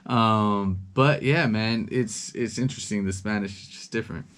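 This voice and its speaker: A young man from Los Angeles, a rapper, chatting casually as an interview guest, talking in a relaxed, rambling way with many ums and repeated words. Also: fairly low pitch, normal speed, slight background noise.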